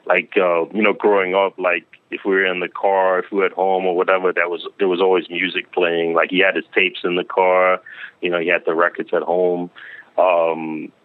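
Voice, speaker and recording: A man from Denmark, a producer and DJ, chatting casually in an interview, relaxed and full of fillers and repeated words. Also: deep voice, normal speed. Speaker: music producer and DJ guest. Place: lives in Queens. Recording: tinny phone-like line.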